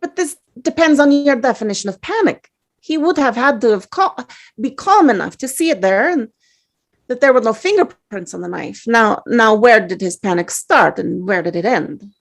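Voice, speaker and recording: A woman playing a polite, careful male juror who speaks in a deliberate, probing way at a dramatic table read. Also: fairly high pitch, lively intonation, gravelly. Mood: questioning.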